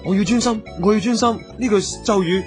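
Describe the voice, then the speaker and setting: An actor with a Hong Kong Cantonese accent playing a naive, boastful magic student in a comic radio drama. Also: male voice, sits high, a little fast, soft background music, natural voice. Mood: eager, boastful. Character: naive boastful male magic student who brags of fans.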